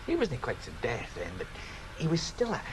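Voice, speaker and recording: Scottish storyteller, swooping and animated. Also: male voice, mid-range voice, normal speed, noisy background. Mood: musing, confiding. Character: Scottish local man, wry teller of curious tales. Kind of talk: British radio drama acting.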